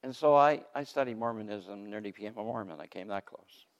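An older man telling his faith story from the pulpit in a loud, lively way, laughing between points. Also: on the low side, a little fast. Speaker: guest speaker giving testimony. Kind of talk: testimony sermon. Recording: long hall reverb.

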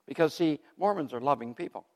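An older man telling his faith story from the pulpit in a loud, lively way, laughing between points. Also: on the high side, fast talker. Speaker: guest speaker giving testimony. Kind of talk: testimony sermon. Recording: long hall reverb.

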